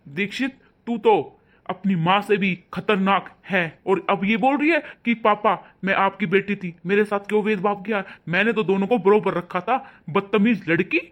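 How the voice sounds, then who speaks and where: A stern father who scolds hard and then turns gentle with his daughter, as a man acts him out. His voice sits high for a man. It sounds like an everyday family drama. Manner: scolding loudly, then softening to coax. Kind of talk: amateur family drama acting.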